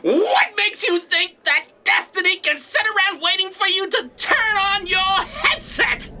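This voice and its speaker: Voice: expressive, measured pace, pushed and bright, exaggerated voice. An actor playing a pompous, exacting alien director with a thousand legs, barking sarcastic commands in a comedic sci-fi audio drama. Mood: imperious, sarcastic. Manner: theatrical, imperious, sarcastic, booming commands.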